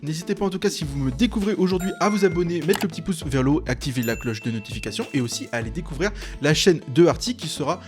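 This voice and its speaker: Higher-voiced young man hosting casually over music. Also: fast, few pauses. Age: late 20s. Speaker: YouTube host. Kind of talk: casual chat presenting a tier list.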